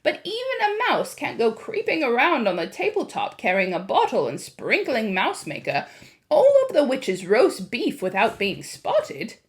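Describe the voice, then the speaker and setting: A woman voicing a composed, deliberate old grandmother. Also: on the high side, wildly swooping pitch. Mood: fond, impressed. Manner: measured, deliberate, emphatic.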